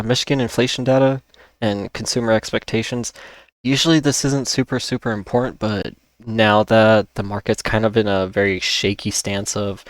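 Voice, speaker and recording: A man with a lowish voice full of fry delivers an informal, explanatory market rundown. He sounds like he is losing his voice, and he restates key points as he goes. The sound is dry, with very little room in it. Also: no echo. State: losing his voice, with occasional coughing. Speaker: host of a stock market analysis channel.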